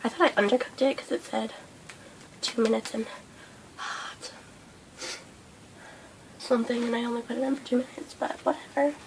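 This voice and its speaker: A young woman vlogging a breakfast mukbang, hoarse and losing her voice from a cold, rambling with lots of fillers. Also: a little high, steady tone, moderate pace, pauses often, slight background noise, poor audio. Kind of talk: casual mukbang vlog chat. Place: indoors at home under a hot light.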